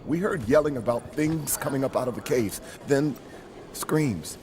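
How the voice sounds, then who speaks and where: An actor playing an injured, shaken mining colonist in a video game, halting and bitter. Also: male voice, mid-range voice, music in the background, close to an everyday voice. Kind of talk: video game cutscene acting.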